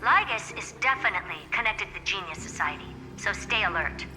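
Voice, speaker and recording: Game role for an actress: haughty genius woman, self-assured, matter-of-fact, mid-pitched, in long reverb with clear music behind. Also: expressive, brisk, lightly acted. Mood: analytical. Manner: smug, blunt, matter-of-fact. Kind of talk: video game voice acting.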